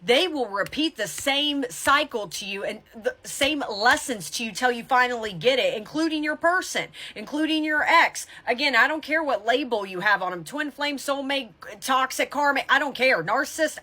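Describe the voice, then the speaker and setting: Bright, high-voiced woman, blunt and emphatic. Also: expressive, normal speed. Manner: blunt, emphatic tough-love delivery, swears casually. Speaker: tarot reader and spiritual coach. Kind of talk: motivational spiritual advice talk to viewers.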